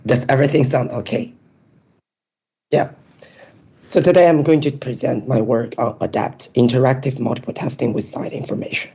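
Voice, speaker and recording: Hoarse man with a strained voice. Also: mid-range voice, warm tone, poor audio. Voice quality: raspy, strained. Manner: explains step by step with frequent ums. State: hoarse, nearly lost voice. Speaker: presenting researcher. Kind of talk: academic webinar presentation.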